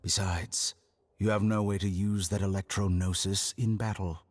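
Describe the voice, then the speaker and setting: An actor playing an arrogant, calculating scholar villain in a video game, speaking in a deliberate, condescending, mock-polite way. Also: male voice, deep voice, little pitch movement, darkish tone. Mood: amused, menacing.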